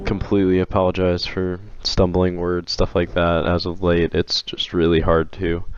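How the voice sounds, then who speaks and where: A young man with a hoarse voice from being sick, rambling through a casual opinion vlog with frequent restarts. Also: low voice, completely flat delivery, moderate pace, lo-fi sound, faint music behind. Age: young adult. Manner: rambling, hesitant, many restarts. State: hoarse from illness. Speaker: video game commentator.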